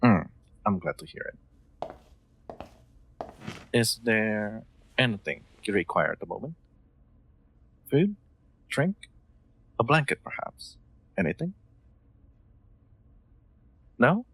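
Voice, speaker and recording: Man playing a gentle, gravelly, low-voiced nobleman. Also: sing-song, slow pace, pauses often, full character voice. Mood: welcoming, attentive. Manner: formal, old-fashioned courteous phrasing, hesitant, soft chuckles. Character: gentle, solicitous nobleman, new master of an automaton. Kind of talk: roleplay audio drama, intimate one-sided dialogue.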